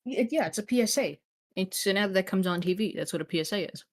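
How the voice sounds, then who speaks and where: A woman's voice: medium pitch, quick pace. A woman from Canada chatting casually on a livestream. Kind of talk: casual chat.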